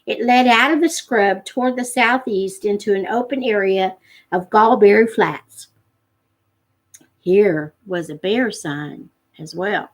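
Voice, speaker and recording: A woman's voice: mid-range voice, takes it slowly. A woman narrating a storybook in a live read-aloud, as the host of a read-along.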